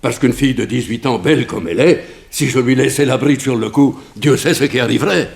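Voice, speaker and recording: A man's voice: medium pitch, fairly quick, creaky, natural voice. An actor playing an anxious, strict middle-aged immigrant father in a 1960s French radio drama, hesitant and stumbling over his words. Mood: stern, indignant.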